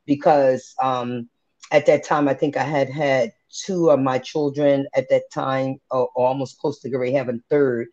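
A woman's voice: extremely low pitch, steady tone, normal speed, a little mellow. A woman in her forties sharing her personal faith testimony, speaking candidly and earnestly with religious phrasing. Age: 40s. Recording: dull lo-fi podcast audio.

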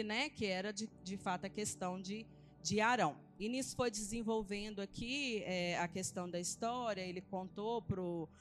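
A Brazilian woman gives an informal Bible teaching. Her voice sits at a medium pitch, and she talks in a colloquial, conversational way with frequent tag questions. Faint music plays behind her. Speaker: church preacher. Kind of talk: informal Bible teaching sermon, reading and retelling scripture. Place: church service.

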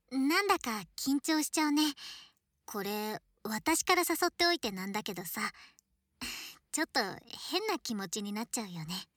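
A woman acts out an affectionate young girl best friend in an intimate ASMR voice drama. Her voice is on the high side, breathy and often whispered, with slow, coaxing, teasing delivery. It sits right at the listener's ear, very dry and close. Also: very animated, fairly bright tone, no echo. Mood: playful teasing. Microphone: close ear-to-ear ASMR microphone.

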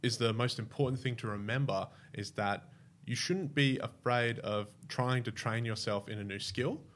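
A young Australian man chatting in a relaxed, rambling way as an interview guest, with frequent restarts and fillers. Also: medium pitch, moderate pace. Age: young adult. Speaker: guest, staff member. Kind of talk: casual interview chat.

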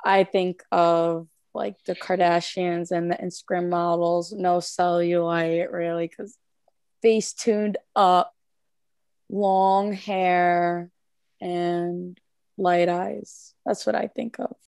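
A woman's voice: on the low side, flat delivery, slow, vocal fry, darkish tone, clear, resonant tone, dry recording, studio quality. A woman hosting a casual podcast, leading a group chat. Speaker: podcast host.

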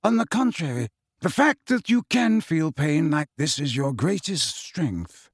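A man performs an elderly wizard headmaster for an audiobook, grave and kindly. He speaks slowly and with quiet composure, his measured phrases rising and falling in pitch. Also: very animated, breathy edge, dry recording, clean studio sound, heavily acted. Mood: gentle, grave. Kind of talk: audiobook character voice.

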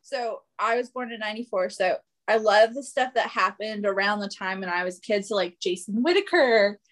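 A woman's voice: medium pitch, lively intonation, moderate pace. A woman in her late twenties chatting casually as a podcast guest, speaking with emphatic enthusiasm. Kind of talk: casual chat.

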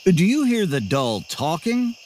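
A co-host in a comedic audio sketch, making dry, deadpan wisecracks. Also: mid-range voice, unhurried, a little hiss or hum.

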